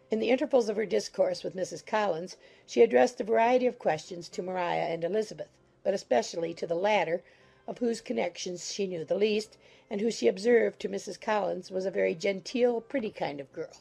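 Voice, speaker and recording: A woman's voice: medium pitch, creaky, mellow, breathy edge. A woman reading scripted literary narration for a dramatized audiobook.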